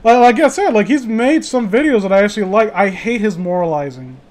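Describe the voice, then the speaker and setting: Higher-pitched man in rambling casual chat. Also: lively intonation, fairly quick, darkish tone. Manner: profane, blunt, opinionated. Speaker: host of a casual group chat.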